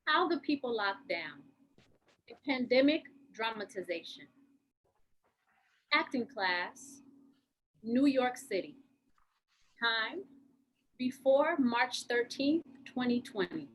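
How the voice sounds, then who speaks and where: A woman's voice: fairly high pitch, lively intonation, slow, long pauses, fairly bright tone, poor audio. A woman reading scripted titles as narrator of an online class performance, over a video call with faint noise.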